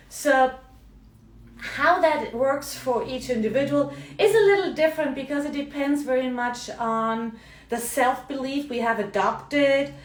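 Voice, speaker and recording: A middle-aged woman from Germany with a German accent, a coach talking chattily in a live video, often tagging on "yeah?" and "right?". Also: high-pitched. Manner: chatty, frequent tag questions. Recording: roomy, mic at a distance.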